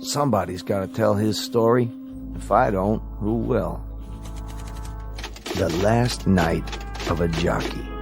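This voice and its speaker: An earnest newspaper columnist in a radio drama. His voice is on the low side, plain and pensive, with music clearly playing behind him. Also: slow, long pauses. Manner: matter-of-fact, pensive. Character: earnest, brooding male newspaper sports columnist.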